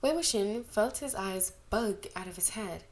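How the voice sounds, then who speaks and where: A woman reads a story aloud as scripted narration. Her voice sits at a middling pitch. She is an amateur reader who now and then stumbles on the text. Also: animated, a little slow. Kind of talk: scripted narration of fan fiction.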